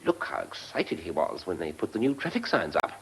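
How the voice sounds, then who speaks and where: A man's voice: low voice, sing-song, brisk, a bit bright, husky, exaggerated voice, poor audio. A man playing a polite, keen, scheming British civil servant in a radio drama, speaking precisely. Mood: keen, plotting. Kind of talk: mid-century British radio drama acting.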